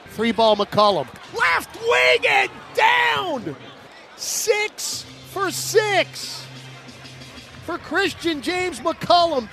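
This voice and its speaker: High, animated American play-by-play man. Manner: animated, punchy clipped phrases with bursts of shouting. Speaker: radio play-by-play announcer. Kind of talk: excited live basketball commentary. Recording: noisy live arena broadcast. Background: arena noise and basketball bounces.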